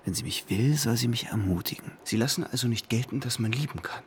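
An actor in a German radio drama playing an infatuated, restless young Parisian gentleman, brooding and impulsive. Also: mid-range voice, fast talker, slight background noise, natural voice. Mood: yearning, pleading. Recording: music behind the voice.

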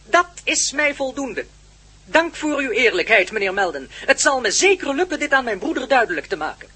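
High, husky, solemn alien being. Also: very animated, sharp tone, full character voice. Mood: calm, assured. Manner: formal, solemn, measured declarations. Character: Jack, solemn gentle alien being of plant-like race. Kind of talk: radio play acting.

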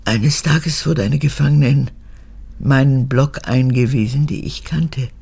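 An actress in a radio drama playing a cold, proud old mother and unrepentant former prison guard, haughty and emphatic, with halting phrases. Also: very deep voice, creaky, mellow, breathy edge, full character voice. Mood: cold, reminiscing. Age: older. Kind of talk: German radio drama acting.

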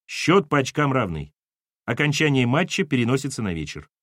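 A man's voice: mid-range voice, big pitch swings, a little fast, dry recording. A man voicing a dogged husband in an audiobook, questioning people bluntly with dry irony. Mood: wry. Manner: blunt, insistent, dryly ironic.

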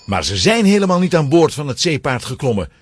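A man's voice: a little high, big pitch swings, fairly quick, a little hiss or hum. A man narrating a children's adventure story in a dramatic, scripted storytelling style.

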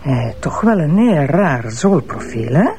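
An actress playing a brisk, cynical deputy prosecutor with a Flemish accent, in a naturalistic radio drama. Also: female voice, low-pitched, big pitch swings, darkish tone, a little hiss or hum. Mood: businesslike, curious.

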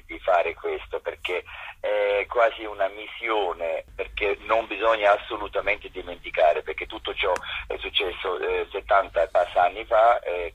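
Very raspy man with a foreign accent. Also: on the low side, a bit bright. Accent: foreign accent in Italian. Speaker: actor, interview guest. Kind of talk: reflective interview talk. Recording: dull, lo-fi telephone interview.

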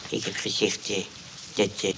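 A man's voice: on the low side, flat delivery, moderate pace, vocal fry, noisy background. An actor playing a sheepish, evasive old grandpa in a radio comedy, hesitant and repeating himself as he stammers excuses. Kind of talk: radio comedy drama acting.

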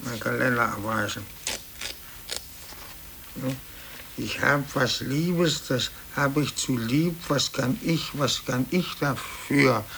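Grumpy older clerk, creaky sharp voice, muttering sarcastically, old hissy radio play tape. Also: male voice, mid-range voice, slow, long pauses. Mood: muttering, gloomy. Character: grumpy, aggrieved older male office clerk with stomach trouble. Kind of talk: 1950s German radio play acting. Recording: hissy old cassette copy of radio broadcast.

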